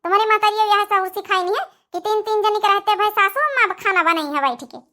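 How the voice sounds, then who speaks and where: A woman's voice: very high-pitched, fast talker, sharp tone. A woman voicing a bossy eldest daughter-in-law in a comedy cartoon, scolding and commanding with an Awadhi accent. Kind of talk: comedy cartoon family quarrel.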